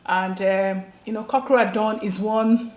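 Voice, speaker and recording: A woman's voice: medium pitch, fairly even intonation, normal speed, reverberant. Nigerian woman hosting an interview show. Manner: warm-up intros, teasing follow-ups. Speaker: talk show host.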